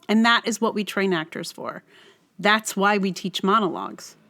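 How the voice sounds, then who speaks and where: A woman's voice: fairly high pitch, fairly bright tone. A woman hosting a solo podcast in a casual, rambling way, often thinking aloud in unfinished phrases. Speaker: podcast host.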